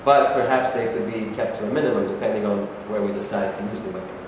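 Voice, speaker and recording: A man's voice: on the low side, fast talker, close to an everyday voice. Man as earnest military adviser, very echoey hall. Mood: measured briefing. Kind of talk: staged play reading. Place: stage reading in a hall. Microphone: far camera microphone. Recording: echoey hall, distant camera, noisy.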